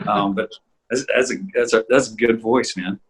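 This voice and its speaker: A man in a casual behind-the-scenes chat, talking like a voice actor in a thoughtful, rambling, halting way. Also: fairly low pitch, steady tone, breathy edge, rough recording. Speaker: voice actor in an audio drama group.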